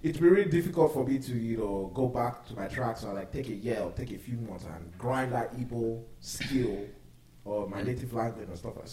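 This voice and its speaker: Fast-talking man in an echoey room. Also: medium pitch, a little mellow, slight background noise. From Nigeria. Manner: quick, hedging, repeats himself when cut off. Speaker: podcast co-host. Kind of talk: casual debate chat.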